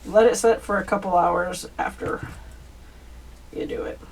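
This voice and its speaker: A woman's voice: on the low side, fairly even intonation, unhurried, vocal fry, a little mellow. A woman hosting a casual step-by-step craft tutorial, chatty and informal as she talks to viewers. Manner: chatty, informal, addresses viewers as you guys. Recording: distant mic, faint background noise.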